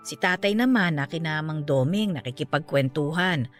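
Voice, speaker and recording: An actress playing a middle-aged aunt in a radio drama, speaking calmly and matter-of-factly. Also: female voice, low-pitched, moderate pace. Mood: somber. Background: faint background music.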